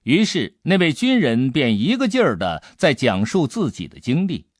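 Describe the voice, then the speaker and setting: A man's voice: mid-range voice, wildly swooping pitch, moderate pace, no room sound, studio quality. A man reading an adventure novel aloud as a scripted audiobook narration.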